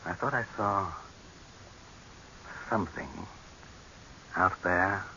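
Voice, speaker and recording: A hissy, muffled vintage radio recording of an imperious dictator. His voice is low and forceful, with a condescending, self-important manner in the theatrical style of thirties horror drama. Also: slow, exaggerated voice. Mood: musing. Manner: pompous, condescending, commanding. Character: pompous, imperious male dictator, coolly amused, easily irritated.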